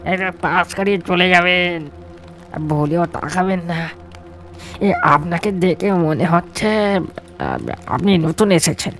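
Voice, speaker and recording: Man acting a high-voiced, secretive village man. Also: takes it slowly, long pauses, fairly bright tone, soft background music, full character voice. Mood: mysterious warning. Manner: folksy, tag questions, secretive warning tone. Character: village man pushing a bicycle, mysterious, cautioning local. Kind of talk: audio horror story acting.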